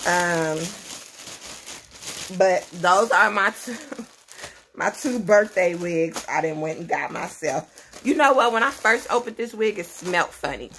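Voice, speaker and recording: A woman's voice: fairly low pitch, slow, long pauses, a bit bright, a little hiss or hum. A woman, chatting casually to camera at home, rambling and laughing as she talks. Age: 30s. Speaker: vlogger, mother of many. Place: small indoor room at home.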